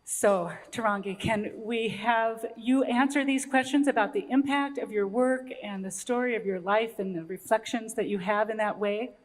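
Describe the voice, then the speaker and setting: A woman's voice: on the high side, moderate pace, few pauses. A woman moderating a panel, thanking a speaker and posing questions to the next in a gracious manner. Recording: long hall reverb.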